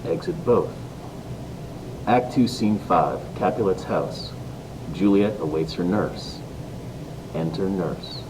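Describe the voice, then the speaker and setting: A man's voice: slow, pauses often. A man reading stage directions as the plain narrator of an amateur play. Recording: distant mic, clear rumble and noise.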